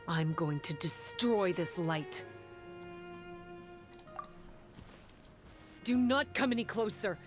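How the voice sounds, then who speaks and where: A woman's voice: fairly low pitch, natural voice. A woman voicing a guarded, solemn young female creature in a video game, formal and grave, bitter toward humans. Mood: cold, defiant. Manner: formal, grave, measured wording. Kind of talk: video game character dialogue. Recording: game music behind the voice. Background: background music.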